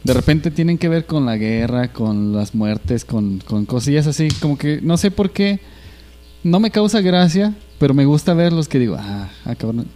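A young Mexican man chats casually among friends, slangy and rambling, stopping and restarting as he hunts for words. His voice sits a little high but sounds dark and mellow. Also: very animated, normal speed. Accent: Mexican Spanish. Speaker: podcast co-host. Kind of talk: casual chat.

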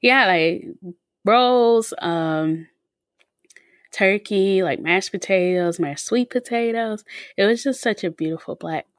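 A woman's voice: medium pitch, slow pace, dry recording, clean studio sound. A woman co-hosting a casual podcast, chatting loosely with many "like"s and "um"s. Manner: chatty, lots of likes and ums. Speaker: podcast co-host. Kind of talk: casual chat.

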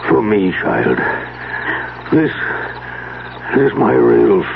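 Dying old magician, halting and gravelly. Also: male voice, fairly low pitch, big pitch swings, heavily acted. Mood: dying, resigned. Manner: halting, grandiose delivery. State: weak, gasping. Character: dying old stage magician, theatrical, proud, bidding farewell. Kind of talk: 1970s radio drama acting. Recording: noisy narrow-band 1970s radio recording.

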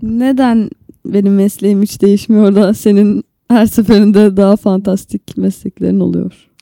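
A woman's voice: medium pitch, fairly even intonation, normal speed, vocal fry, dark tone, no room sound. A woman co-hosting a podcast in casual banter, playfully complaining. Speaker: podcast co-host.

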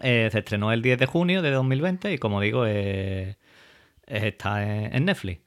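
A man hosts his own podcast. His voice sits fairly low, and his talk is loose and informal, full of fillers and restarts. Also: normal speed. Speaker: podcast host. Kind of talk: casual podcast chat.

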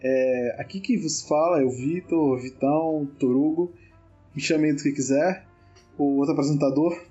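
A man from Brazil's Northeast hosting a casual podcast, joking and relaxed with many fillers. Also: fairly high pitch, normal speed, dull audio, faint music behind. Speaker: podcast host.

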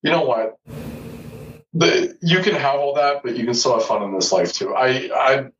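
A man's voice: medium pitch, lively intonation, moderate pace, a bit bright, slight background noise. A man in his forties, a podcast guest speaking candidly and bluntly, with plenty of swearing. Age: 40s. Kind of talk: casual chat. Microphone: video call. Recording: distant, dull video call audio.

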